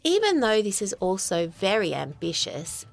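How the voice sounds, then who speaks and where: A woman playing an earnest, bureaucratic high school principal, speaking in a measured, formal deadpan for a comic audio story. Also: gravelly, soft background music. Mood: deadpan, approving. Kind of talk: acted comic monologue in an audio short story.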